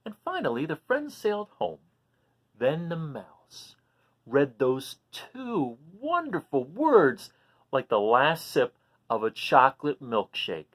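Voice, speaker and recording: A man hosting a children's read-aloud, expressive as he reads and chats, explaining things simply to young listeners. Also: fairly high pitch, slow pace. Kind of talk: animated storybook reading and casual chat to children.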